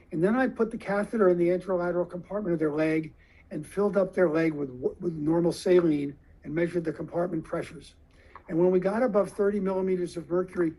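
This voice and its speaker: A man giving a lecture to surgeons, explaining slowly and deliberately with questions to the audience. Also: high-pitched, darkish tone, breathy edge, rough recording.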